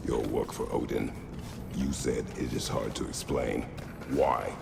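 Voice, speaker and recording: An actor playing a stern, battle-hardened warrior father in a video game, gravelly, terse and solemn, with formal phrasing. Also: steady tone, measured pace, noisy background, full character voice. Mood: suspicious, stern. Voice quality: very deep, gravelly. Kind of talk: cinematic video game acting.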